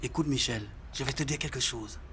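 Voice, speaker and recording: A man's voice: medium pitch, fairly quick, fairly bright tone, a little hiss or hum, lightly acted. A man voicing a friendly, chatty neighbourhood shopkeeper in a French cartoon. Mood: confiding. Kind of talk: French animated series acting.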